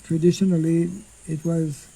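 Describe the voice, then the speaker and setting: An older monk giving an informal scripture class outdoors into a stand mic, speaking slowly and haltingly and clearing his throat often. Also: a little high, monotone, warm tone, rough recording. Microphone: stand mic in front.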